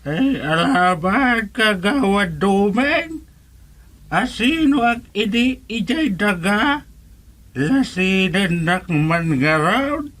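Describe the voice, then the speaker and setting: A woman plays an old villager in an Ilocano comedy serial. The words come very slowly, at a middling pitch, in an Ilocano accent with broad radio drama delivery. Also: animated, fairly bright tone, full character voice. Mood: chatty.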